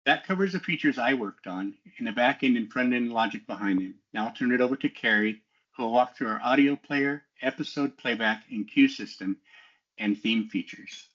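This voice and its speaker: A man's voice: medium pitch, moderate pace, fairly bright tone, breathy edge, dull audio. A man giving a methodical, explanatory technical walkthrough as a student developer, in a semi-scripted presentation style.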